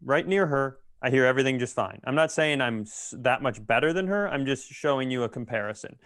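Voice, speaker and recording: A man's voice: medium pitch, lively intonation, fairly quick, a bit bright, no room sound, studio quality. A man ranting casually and comedically as a podcast host, exasperated and swearing freely. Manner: exasperated, profane.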